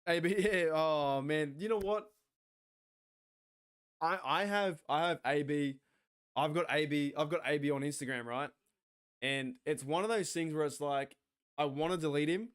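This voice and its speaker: An Australian man hosting a sports watch party stream, rambling excitably, swearing and talking back to his chat. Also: on the high side, normal speed, long pauses, clear, resonant tone. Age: 40s.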